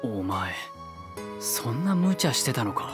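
A man's voice: mid-range voice, sing-song, slow, music in the background. An actor playing a bored, laid-back young man who mutters and grumbles in blunt, casual speech in an anime drama. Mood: reluctant.

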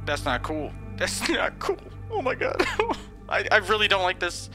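A man's voice: high voice, huge pitch swings, unhurried, music in the background. A man reacting live to a video game, jumpy and exclaiming, often panicky and rambling. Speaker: gameplay streamer.

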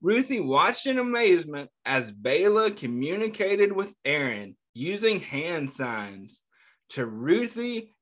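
A man reading a picture book aloud to children, pausing to ask them gentle questions between passages. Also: on the high side. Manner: slow, pausing reading with small slips. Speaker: children's storyteller. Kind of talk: read-aloud storytime.

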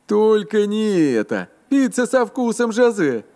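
A man voicing an excitable, enthusiastic little mustached cook in a children's cartoon, with childlike, exclamatory delivery. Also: sits high, measured pace, over music. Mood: dismayed, whiny.

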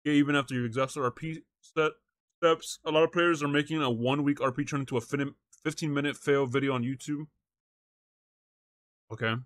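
A man's voice: on the high side, steady tone, brisk. A man streaming live, chatting casually and slangily with his viewers and reading things aloud into a close microphone. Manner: slangy, says bro and chat often. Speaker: live streamer reacting to a video and talking to chat.

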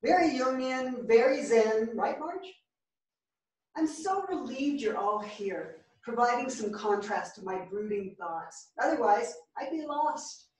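A woman's voice: fairly high pitch, lively intonation, measured pace. Distant, echoing brooding older writer played by a woman. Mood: reflective. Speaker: actor in a staged reading. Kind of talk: staged play reading. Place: small theatre stage, indoors. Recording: very echoey theatre, distant microphone.

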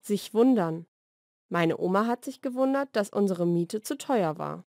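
Female language-lesson narrator, mid-pitched, measured and deliberate diction, dry studio sound. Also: expressive, darkish tone, dry recording. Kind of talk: scripted vocabulary narration, single words then example sentences. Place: dry studio voice-over recording.

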